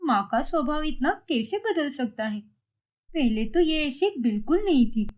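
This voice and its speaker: A woman's voice: high-pitched, animated, measured pace, a little mellow. A woman voicing a hurt, wistful, homesick daughter in a cartoon story, with a plaintive manner.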